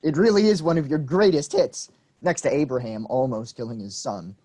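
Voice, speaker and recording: An actor playing an eager, deferential disciple who earnestly prods and flatters his boss in a comic play reading. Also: mid-range voice, normal speed, close to an everyday voice. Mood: advising.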